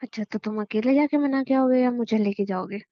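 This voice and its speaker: Woman playing a high-voiced, doubtful young wife. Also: fairly quick, no room sound, natural voice. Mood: worried, questioning. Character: worried, doubtful young wife, playfully threatening. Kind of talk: romantic phone-call style audio drama in Hindi. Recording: dry, dull lo-fi audio.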